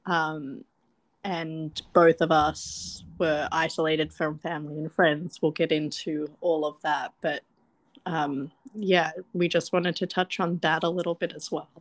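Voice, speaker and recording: A woman's voice: sits low, little pitch movement, measured pace, no echo, poor audio. A woman co-hosting a candid podcast, speaking in an easygoing, reassuring way with plenty of casual "yeah" fillers. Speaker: podcast co-host. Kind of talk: candid conversational podcast chat.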